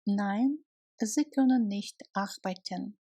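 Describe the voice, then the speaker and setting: A woman narrating a language lesson, reading short scripted phrases deliberately and separating the words for learners. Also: mid-range voice, warm tone, dry recording.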